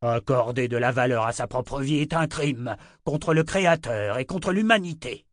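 A man's voice: mid-range voice, animated, moderate pace, no room sound, studio quality, close to an everyday voice. A man reading an audiobook, voicing a cold, contemptuous emperor who lectures in a condescending tone.

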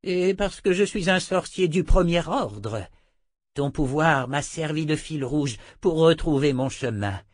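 Man as an old croaking wizard, raised pitch. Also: animated, measured pace, no room sound, studio quality. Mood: relieved, proud. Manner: breathy, croaking, emphatic. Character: old male wizard, urgent and proud. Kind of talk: audiobook character voice.

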